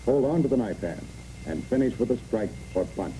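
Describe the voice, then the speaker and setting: A man's voice: mid-range voice, expressive, normal speed, warm tone, slight background noise. A man reads scripted instructional narration for a training film in clipped, imperative phrases, on a muffled old film recording.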